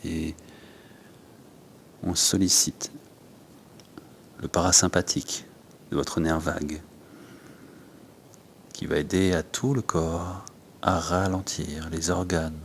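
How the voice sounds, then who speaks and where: A man with a low-pitched voice teaches yoga and breathwork. He gives short, unhurried instructions and leaves pauses between them. A faint low rumble runs behind his voice. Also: level tone, vocal fry.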